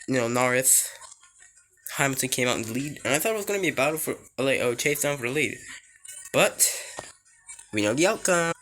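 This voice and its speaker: A man whose voice is hoarse and nearly gone talks through a race in casual reaction commentary. He is excitable and rambling, restarting sentences and filling gaps with "like", at an ordinary middle pitch. Faint hiss sits under him. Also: fairly quick, pauses often, low-quality recording. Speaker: racing fan reviewing a race.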